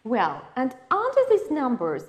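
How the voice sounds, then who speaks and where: High, lively Ukrainian-accented woman teacher. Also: a little slow. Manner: patient, asks questions and confirms answers. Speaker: English teacher. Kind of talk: online English lesson for exam prep.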